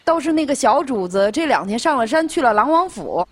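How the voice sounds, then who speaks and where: A woman's voice: high voice, very animated, a little fast, no room sound. A woman playing a chatty, eager young servant boy in a Chinese audio drama, gossipy and deferential to the master.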